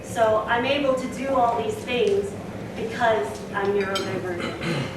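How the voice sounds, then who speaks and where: A woman's voice: mid-range voice, fairly even intonation, a little slow. A young woman from Singapore giving a chatty talk to a club audience, with frequent fillers. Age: young adult. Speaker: speaker. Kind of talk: presentation to a club. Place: meeting room. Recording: echoey room, distant mic, noisy.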